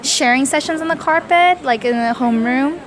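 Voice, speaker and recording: A woman's voice: high-pitched, moderate pace, crowd noise. A young woman from Korea, a native Korean speaker, answering interview questions casually, hesitant, with many fillers and self-corrections. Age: young adult. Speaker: interviewee, student.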